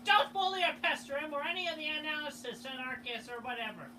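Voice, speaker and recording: A woman signing off to her viewers in a casual, excitable way, with bursts of exclamation. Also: high voice, fast talker. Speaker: video creator. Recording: distant noisy replayed video audio.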